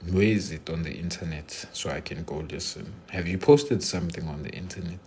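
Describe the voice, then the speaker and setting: A man's voice: deep voice, a little fast, darkish tone, slight background noise. A young South African man from Limpopo, chatting casually on a live stream, joking and mixing English with Zulu slang. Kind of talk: casual live stream chat answering viewers.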